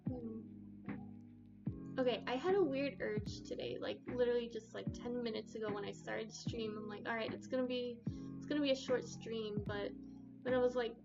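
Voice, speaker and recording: Shy, quiet-voiced young woman streamer. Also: fairly high pitch, fairly even intonation, moderate pace, lo-fi sound. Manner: soft-spoken, hesitant, giggly. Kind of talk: casual live-stream chat. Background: soft background music.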